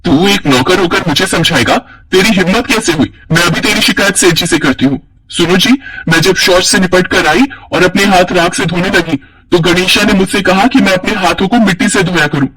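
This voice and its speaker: A woman's voice: fairly low pitch, normal speed, few pauses, a bit bright, slightly rough, rough recording. A woman voicing a haughty, short-tempered merchant's wife in a cartoon story, with a scolding, bossy manner. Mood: indignant. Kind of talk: Hindi cartoon story dialogue.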